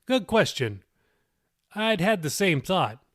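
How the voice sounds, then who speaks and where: A man's voice: high-pitched, very animated, takes it slowly, no room sound, studio quality, heavily acted. A man voicing an earnest male pastor in an audiobook, reasoning aloud and teaching from scripture. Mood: earnest, thoughtful.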